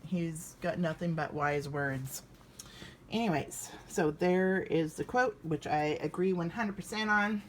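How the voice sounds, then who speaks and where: A woman's voice: lowish voice, measured pace, slight background noise. An American woman in her fifties doing an informal children's read-aloud, with hesitant, rambling asides. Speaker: story reader for children.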